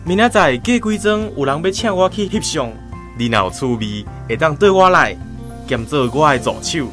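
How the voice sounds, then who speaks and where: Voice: medium pitch, lively intonation, moderate pace, a bit bright, soft background music, lightly acted. An actor playing an easygoing, philosophical village photographer in a Taiwanese radio drama, explaining things earnestly. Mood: friendly.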